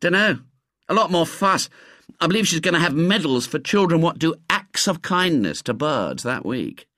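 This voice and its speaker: Man playing schoolboy, gloomy, resigned, lifted pitch, colloquial speech, children's storybook character. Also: sing-song, normal speed, sharp tone, no echo, clean studio sound.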